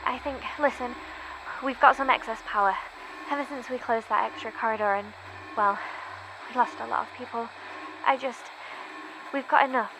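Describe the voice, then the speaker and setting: Frightened, high-voiced woman on radio. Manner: halting, breaking off sentences, urgent. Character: frightened, hurried female bunker survivor on the radio. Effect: two-way radio transmission. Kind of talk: horror audio drama acting. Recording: noisy radio transmission.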